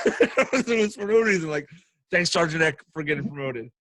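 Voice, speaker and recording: A man hosting a casual chat, telling stories in a jokey, animated way and prone to laughing. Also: a little high, moderate pace, no echo, poor audio. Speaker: podcast host and military veteran telling stories.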